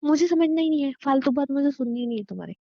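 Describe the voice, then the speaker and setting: Woman acting as stubborn wife, highish voice, dull recording. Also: animated, racing. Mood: guilty, stubborn. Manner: firm, insistent, curt. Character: stubborn, guilt-ridden wife refusing to abandon her husband. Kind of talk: naturalistic Hindi relationship audio drama. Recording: dry, dull lo-fi sound.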